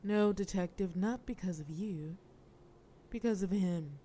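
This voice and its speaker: A woman's voice: lowish voice, a little slow, warm tone, slight background noise, natural voice. A woman playing a defiant, jaded mafia boss in an audio roleplay, speaking in a dry, wry, dismissive way. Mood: sardonic, flirtatious. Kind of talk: scripted audio roleplay acting.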